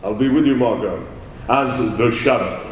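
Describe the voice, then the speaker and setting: A man playing a calm, commanding crime-fighter hero in a live old-time radio drama, crisp and dramatic. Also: mid-range voice, moderate pace, slight background noise, natural voice. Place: large convention hall, live stage. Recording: echoey hall with long reverb.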